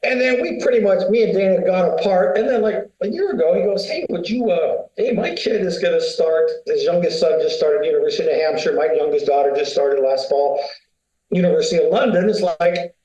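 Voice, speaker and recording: A middle-aged man with a high, mellow voice talks in loose, rambling stories, stacking fillers and asides as he goes. The sound is roomy and echoey, as if recorded in a bare room. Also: a little fast, dull audio. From United States. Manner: rambling, story-telling, many fillers. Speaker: winery founder, guest. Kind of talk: casual interview talk.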